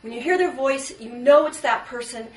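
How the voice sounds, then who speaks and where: A woman voice teacher explaining points one by one in a measured instructional video. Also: high-pitched. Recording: echoey room, distant mic.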